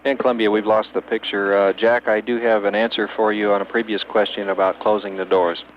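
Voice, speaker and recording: A man's voice: fairly low pitch, level tone, fairly quick. A man working as a spacecraft communicator, speaking in matter-of-fact procedural radio calls over a muffled, hissy archival link. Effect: two-way space radio. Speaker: capsule communicator at mission control.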